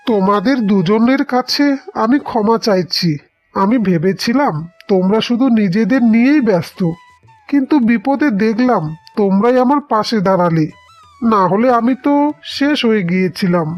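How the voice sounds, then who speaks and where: A woman's voice: fairly high pitch, animated, moderate pace, dull audio, faint music behind, lightly acted. A woman voicing a humbled, grateful man of the house in a cartoon, speaking in an earnest, pleading way. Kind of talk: cartoon dialogue.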